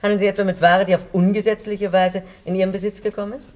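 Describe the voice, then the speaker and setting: An actress playing a suspicious, stern, well-to-do housewife in a radio drama, interrogating in a haughty, precise way. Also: female voice, medium pitch, level tone, fast talker, a little mellow, natural voice. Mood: suspicious, probing.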